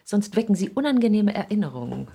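An actress playing a proud, wounded middle-aged mother in a 1960s German radio play, husky and dignified, with theatrical emphasis. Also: mid-range voice, sing-song, quick pace, dark tone, slight background noise. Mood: icy, defiant.

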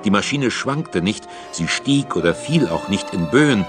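A man gives a dramatic reading of literary prose in a fairly low voice. Music is clearly audible behind him. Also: animated, moderate pace.

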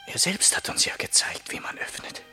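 An actor playing a poor young surgeon, brooding and tempted by greed, in a halting inner monologue of a 1960s German radio drama. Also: male voice, bright, forceful tone, in a whisper, faint music behind. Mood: tempted, scheming.

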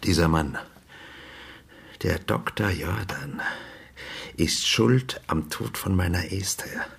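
Casting: grieving, embittered father in radio drama, low gravelly voice, halting yet insistent. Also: exaggerated voice. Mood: anguished, accusing. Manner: halting, emotionally burdened speech. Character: bereaved, bitter father accusing his daughter's killer, halting and insistent. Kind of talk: naturalistic German radio drama acting.

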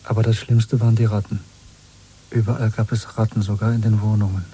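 An actor playing a weary, bitter, quietly defiant dissident in a radio drama, husky and intimate, speaking slowly and broodingly. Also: male voice, fairly low pitch, flat delivery, slight background noise, natural voice. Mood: somber recollection. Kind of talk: 1970s German radio play acting.

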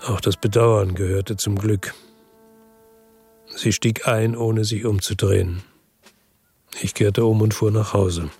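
An actor playing a weary, hard-boiled crime narrator in a first-person radio drama, husky, terse and reflective. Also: male voice, fairly low pitch, steady tone, takes it slowly, pauses often, a little mellow, soft background music, heavily acted. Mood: wistful, weary.

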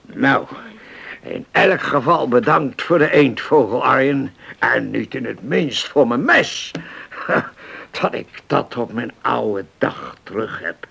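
An old seafarer in a radio drama, slow and gravelly. He is genial and sentimental, muses aloud, repeats his phrases and teases his friend with a lively, swinging pitch. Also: full character voice. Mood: hearty, friendly. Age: older. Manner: slow, musing, repeats himself. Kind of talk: radio play acting.

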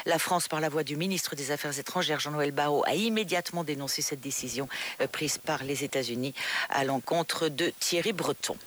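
A woman's voice: low voice, a bit bright. A woman presenting a radio news bulletin in a newsreader's delivery.

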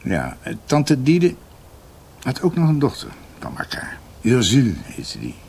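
Radio drama: older male doctor, low and slow, halting storyteller with lively swings, faint background noise. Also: gravelly, full character voice. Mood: confiding, deliberate. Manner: halting, ruminative, emphatic. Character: older doctor recounting family history to his niece.

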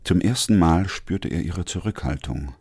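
A man acting a sober, measured doctor and narrator in a literary radio drama, calm, terse and matter-of-fact. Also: sits low. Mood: grave narrating. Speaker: narrator and lead actor. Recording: music behind the voice.

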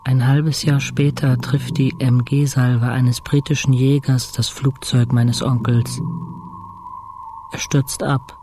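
An actor as a weary man in intensive care, telling his story in a radio play. His mid-pitched voice stays flat and slow, in terse, brooding fragments. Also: lightly acted. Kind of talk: scripted first-person radio drama narration.

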